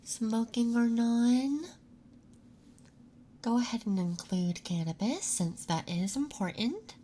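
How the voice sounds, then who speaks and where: Slow, gentle ASMR nurse voice. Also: medium pitch, a little hiss or hum. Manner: gentle, soothing, unhurried. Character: gentle, calming nurse doing a patient intake. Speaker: ASMR performer playing a nurse. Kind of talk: soft-spoken ASMR medical roleplay.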